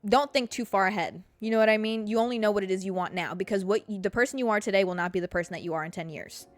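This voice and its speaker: A woman's voice: medium pitch, racing, dry recording, faint music behind. A woman in her mid-twenties hosting a podcast, giving listeners a blunt, profanity-laced motivational pep talk and calling them babe and girl. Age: 20s. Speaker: podcast host. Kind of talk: casual motivational monologue.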